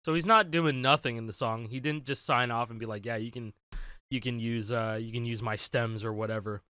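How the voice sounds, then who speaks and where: A man's voice: mid-range voice, fairly even intonation, bright, forceful tone, clean tone. A man in his twenties co-hosting a podcast, chatting casually and laughing easily. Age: 20s. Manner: laid-back, swears casually, quick laughs. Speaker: podcast co-host. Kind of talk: casual chat.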